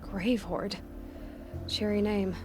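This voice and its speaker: A woman's voice: medium pitch, little pitch movement, slow, noisy background, lightly acted. A woman playing a young hunter in a video game, musing to herself in a dry, determined way. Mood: wry, wary. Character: young female hunter, wry, curious and determined explorer. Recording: loud music behind.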